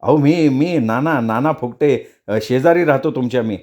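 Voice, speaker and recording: A man's voice: mid-range voice, moderate pace, darkish tone, close to an everyday voice. A man voicing a greedy, scheming, freeloading neighbour who wheedles and mutters asides in a comic story. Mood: eager.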